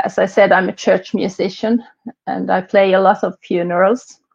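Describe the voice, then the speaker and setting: A woman in her forties with a Finland Swedish accent, whose first language is Swedish, speaks slowly and carefully, pausing on fillers. Her voice is gravelly and husky, with a touch of breath on it. The recording sounds slightly dull and muffled. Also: mid-range voice, a little mellow. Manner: slow, careful, hesitant with many fillers. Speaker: interview guest, language learner. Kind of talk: casual interview chat.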